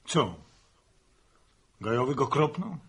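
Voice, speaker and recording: A gruff farmer and family father in a vintage Polish radio drama. His voice is very raspy and forceful, blunt in manner, swinging widely in pitch as his temper moves. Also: sing-song, slow pace, heavily acted. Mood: angry. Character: Stefan, hot-tempered farmer and family father, middle-aged. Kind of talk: 1960s Polish radio serial acting.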